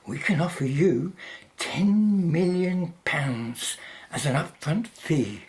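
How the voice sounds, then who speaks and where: Radio drama villain: quietly menacing businessman, played by a woman, polite, unhurried, with lively pitch swings. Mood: calculating. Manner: polite, formal, persuasive. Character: suave, calculating male company owner, quietly menacing.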